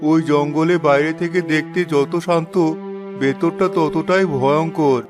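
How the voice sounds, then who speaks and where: A man's voice: a little high, fairly even intonation, measured pace, close to an everyday voice. A man playing an elderly village uncle in a cartoon, giving grave, fatherly warnings. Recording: music behind the voice.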